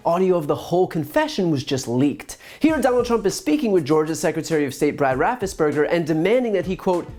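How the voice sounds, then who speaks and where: A man's voice: fairly high pitch, a little mellow. A man in his thirties with an American accent, giving scripted political commentary to camera in an emphatic way with sarcastic asides, on a lapel mic. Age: 30s.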